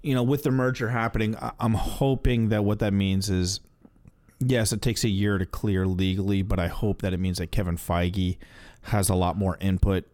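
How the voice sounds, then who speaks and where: Low-voiced man, dry close sound. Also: steady tone, normal speed, darkish tone, no echo. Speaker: podcast co-host. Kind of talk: casual opinionated chat.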